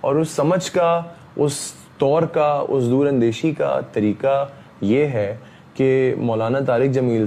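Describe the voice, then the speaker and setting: A man, speaking emphatically as an interview guest, repeating himself and often pausing on fillers. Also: medium pitch, dark tone, slight background noise. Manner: emphatic, repetitive, frequent fillers. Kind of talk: heated interview talk.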